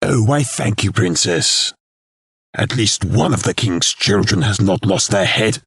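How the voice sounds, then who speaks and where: An actor playing a haughty, fussy royal court lord in an audio drama, with a pompous, indignant, gravelly delivery. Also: male voice, on the low side, animated, unhurried, fairly bright tone, no room sound, clean studio sound, exaggerated voice. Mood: smarmy, grumbling. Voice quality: gravelly, raspy.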